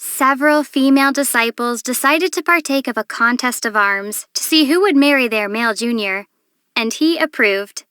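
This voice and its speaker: A woman playing a young traveler heroine in a video game, calm and poised with a gently wry manner. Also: a little high, moderate pace, clear, resonant tone, dry recording. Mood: calm, storytelling. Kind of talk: video game character voice acting.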